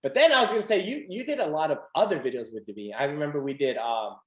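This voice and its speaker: A man's voice: a little high, expressive, quick pace. A young man hosting a podcast, chatting casually and animatedly with lots of fillers and laughter. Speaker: podcast host. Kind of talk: casual chat. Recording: echoey room.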